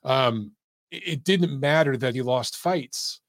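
A man's voice: medium pitch, slow, no echo, clean studio sound. A man from Detroit hosting a call-in sports show, talking in a chatty, emphatic way. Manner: chatty, emphatic, swears casually. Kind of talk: casual sports talk.

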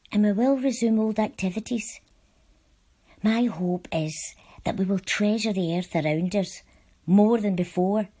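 Mellow, husky woman reading a letter. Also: on the low side. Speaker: volunteer reader. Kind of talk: reading letters aloud, scripted.

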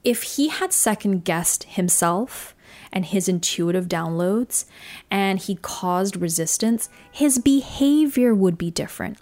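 A woman's voice: mid-range voice, expressive, slow pace, darkish tone. A woman who was born in Singapore and raised in Australia, hosting a solo podcast and telling personal stories in an earnest, reflective way. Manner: earnest, reflective, shifts pace often. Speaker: podcast host.

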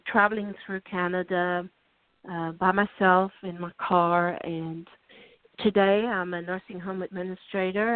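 An older woman from South Africa with a South African accent, a guest author telling her life story in a radio interview, unhurried and pausing with ums and restarts. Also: on the low side, no room sound. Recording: dry tinny phone line.

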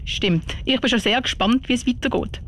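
A woman's voice: on the high side, normal speed, fairly bright tone. A woman with a Swiss German accent presenting a radio show in a chatty style.

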